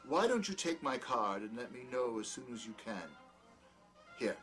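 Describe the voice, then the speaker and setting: A haughty, smooth-talking doctor played by a male actor in an audio drama. His formal, wordy speech swings animatedly in pitch, courteous on top and scornful underneath. Music plays behind him. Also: sing-song, moderate pace, a bit bright. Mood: courteous, charming. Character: peculiar, condescending male doctor, smoothly charming yet scornful. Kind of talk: dramatic dialogue in an audio play or table reading.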